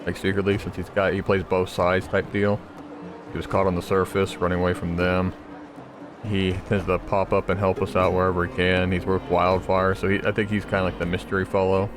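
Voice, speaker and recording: A man's voice: sits low, completely flat delivery, a little fast, noisy background. A man giving casual let's play commentary, musing and loosely thinking aloud as he plays. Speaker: gamer commentating on a playthrough. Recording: game music behind the voice.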